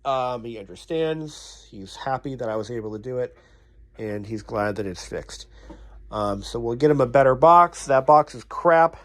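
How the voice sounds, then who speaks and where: A man's voice: medium pitch, normal speed. A man working as a repairman and hosting his own vlog, talking casually and thinking aloud as he works. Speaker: radio repairman and video host.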